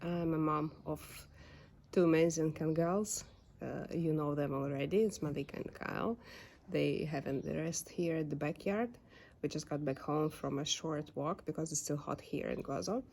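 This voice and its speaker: A woman's voice: sits low, normal speed, darkish tone. A young woman with a Ukrainian accent, speaking English as a second language in a casual vlog, hesitating often with fillers while she searches for words. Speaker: dog owner vlogger. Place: home backyard.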